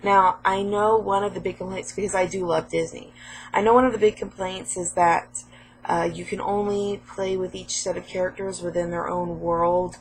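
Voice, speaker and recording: A woman vlogging casually about her game pickups, hoarse and a little sniffly from a cold, chatty and rambling with lots of fillers. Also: fairly low pitch, flat delivery, normal speed, a little hiss or hum, rough recording.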